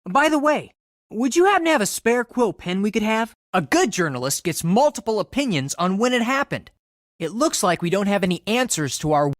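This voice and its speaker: An actor playing an earnest, eager young colonial American reporter in a game, speaking clearly in an explanatory manner. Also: male voice, high voice, dry recording. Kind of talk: scripted game character dialogue.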